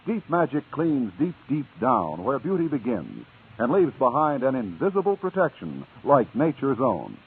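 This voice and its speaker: A man's voice: mid-range voice, wildly swooping pitch, normal speed, breathy edge. An American man reading a scripted mid-century radio advertisement with a polished, emphatic sales delivery. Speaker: radio commercial announcer. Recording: tinny, muffled old radio recording.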